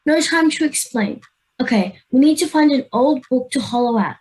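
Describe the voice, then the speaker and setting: A woman's voice: high voice, lively intonation, moderate pace, darkish tone, rough recording. An Australian girl of ten or eleven playing a decisive, bossy schoolgirl adventurer in an amateur radio play. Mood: urgent. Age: child, 10 to 11. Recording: home video-call recording.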